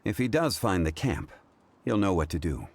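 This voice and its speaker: An actor playing a calm, formal man with an ancient, scholarly air who speaks in a measured, unhurried way. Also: low voice, creaky, heavily acted.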